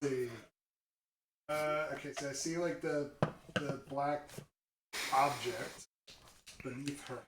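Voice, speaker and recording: A man's voice: mid-range voice, fairly even intonation, slow, long pauses, noisy background. A man casually chatting and reacting as a co-host on a livestream.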